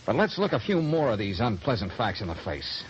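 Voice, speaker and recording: An actor playing a tough, protective, slangy private investigator in a 1940s radio drama, on a muffled old recording. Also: male voice, mid-range voice, very animated, slight background noise, close to an everyday voice. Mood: urgent, protective. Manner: brisk, slangy, wry.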